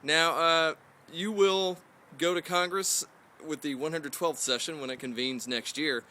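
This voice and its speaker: A man interviewing a politician, asking brisk, friendly questions. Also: a little high, steady tone, bright, forceful tone, a little hiss or hum.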